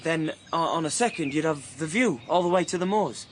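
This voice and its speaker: An actor playing a cheeky working-class young dreamer in a radio drama, bantering in colloquial speech. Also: male voice, a little high, animated, brisk, a little hiss or hum, close to an everyday voice. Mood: playful, dreamy.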